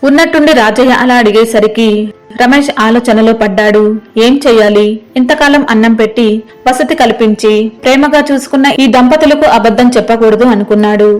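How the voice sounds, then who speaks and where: A woman's voice: a little high, fairly quick, rough recording. A woman reading a scripted story as its narrator. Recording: music behind the voice.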